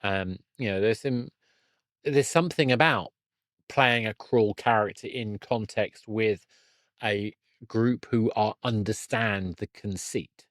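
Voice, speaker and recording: A man's voice: fairly low pitch, slow, dry recording. A man chatting casually as a podcast host, thinking aloud and often correcting himself mid-sentence. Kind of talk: casual conversational interview.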